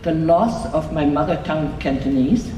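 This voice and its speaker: An older woman from Hong Kong reading a prepared award acceptance speech in a measured, reflective way, recorded from afar in a reception hall. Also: sits low, warm tone. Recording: echoey hall from far off, noisy room.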